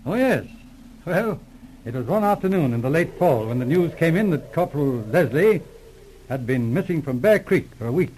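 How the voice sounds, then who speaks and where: A man playing a steady, thoughtful Mountie sergeant, telling his story earnestly in 1940s radio drama style. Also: on the high side, normal speed, a little hiss or hum, lightly acted, rough recording. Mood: reflective. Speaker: police sergeant.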